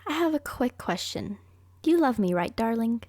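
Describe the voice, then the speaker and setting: A woman's voice: fairly high pitch, big pitch swings, moderate pace, darkish tone, no room sound. A woman playing a smug, brilliant, manipulative young serial killer girlfriend in an anime roleplay, boastful and coaxing. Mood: sweetly manipulative. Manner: boastful, coaxing, self-satisfied.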